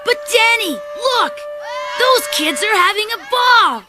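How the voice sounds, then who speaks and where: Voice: very high voice, expressive, measured pace. An actor voicing an encouraging, sporty, cheerful bear in a children's cartoon. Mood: eager, upbeat. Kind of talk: animated children's cartoon acting. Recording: cartoon soundtrack with loud music.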